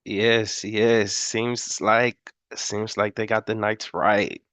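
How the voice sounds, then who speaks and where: A man's voice: on the low side, little pitch movement, slow pace, a bit bright, dry recording. A man hosting a live stream, casually quizzing the chat. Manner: casual, addresses chat with quiz questions. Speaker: host.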